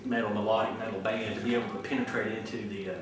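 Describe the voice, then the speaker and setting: A man's voice: lowish voice, level tone, racing. A man with a Tennessee accent interviewing a musician, asking long, chatty, wandering questions. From small town in Tennessee. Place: outdoor festival grounds. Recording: echoey and distant, faint noise.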